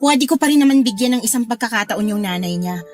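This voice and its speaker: An actress playing a brash, stubborn elder sister with a Filipino accent in a radio drama, snappy and dismissive. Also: female voice, on the high side, animated, fast, darkish tone, faint music behind, close to an everyday voice.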